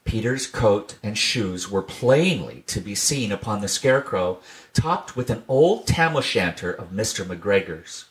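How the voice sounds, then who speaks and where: Guy reading a kids story aloud, lowish voice, calm and measured. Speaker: reader.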